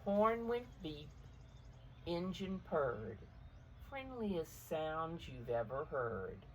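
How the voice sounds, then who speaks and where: A woman reading a picture book aloud to young children, expressively, pausing to explain the pictures in simple words. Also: sits low, very animated, slight background noise. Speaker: storybook reader for preschoolers.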